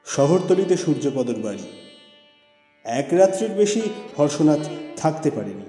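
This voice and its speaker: A man narrating a literary story as an audiobook, with expressive, theatrical delivery. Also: fairly high pitch, unhurried. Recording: echoey reverberant voice over music.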